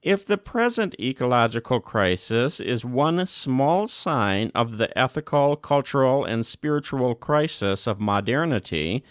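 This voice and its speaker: A man reading a formal religious text as scripted narration, with measured, deliberate phrasing and emphatic pauses. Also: medium pitch, sing-song, clear, resonant tone, no room sound, studio quality. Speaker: volunteer audiobook narrator.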